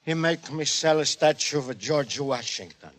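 A man's voice: on the high side, animated, moderate pace, natural voice. An actor playing a good-natured, naive Italian immigrant man in a 1940s radio comedy, speaking earnest broken English with an Italian accent. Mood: eager, trusting. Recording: noisy vintage 1940s radio recording.

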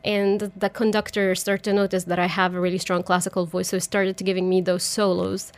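A woman's voice: mid-range voice, little pitch movement, fairly quick. Friendly, giggly woman from Egypt. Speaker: soprano singer, guest. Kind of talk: casual interview.

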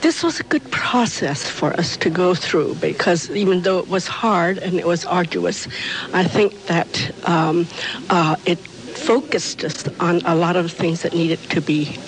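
A woman's voice: lowish voice, unhurried, poor audio. A woman official giving an unscripted interview soundbite. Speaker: transit board vice chair.

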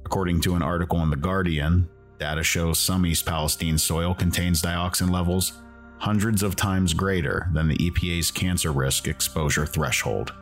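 A man with a very deep voice narrates a documentary podcast in a measured, earnest way. His delivery is scripted and even. Faint music plays beneath him.

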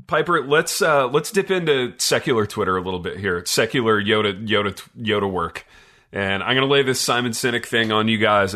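A man's voice: lowish voice, bright, forceful tone. A man hosting a podcast in casual, slangy banter, jokey and dryly mock-serious. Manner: jokey, slangy, addresses co-hosts as dude and baby. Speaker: podcast host.

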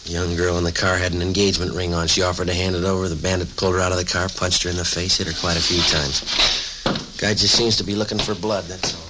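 Man playing deep-voiced deadpan radio police detective. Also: fast, natural voice. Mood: grim, weary. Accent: American. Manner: deadpan, clipped, matter-of-fact. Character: terse, businesslike male police detective sergeant narrating his cases. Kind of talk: 1950s radio drama acting, clipped scripted narration. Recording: noisy old radio broadcast recording.

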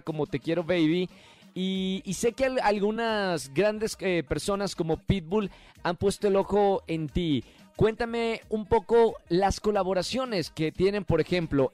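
A man hosting a live radio interview, upbeat, with drawn-out hesitations. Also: high voice, a little mellow. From Mexico. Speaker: radio host.

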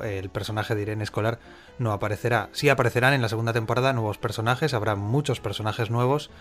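Low-voiced Spanish man answering questions. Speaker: scriptwriter answering listener questions. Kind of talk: casual Q&A answers.

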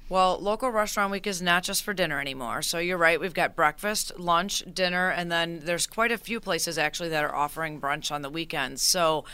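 A woman joins a radio interview as a guest, explaining her event in a lively, informative way. Her voice is bright and tense-edged but crisp. It is recorded close and dry in a studio. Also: on the low side, dry recording. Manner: upbeat, informative, rapid explainer. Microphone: studio microphone.

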